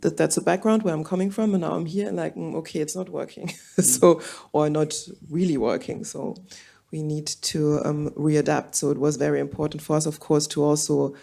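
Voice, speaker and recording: A woman's voice: deep voice, fairly even intonation, normal speed, gravelly, dark tone. A woman from Germany speaking on a symposium panel, hesitant and searching for words, with many fillers. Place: auditorium.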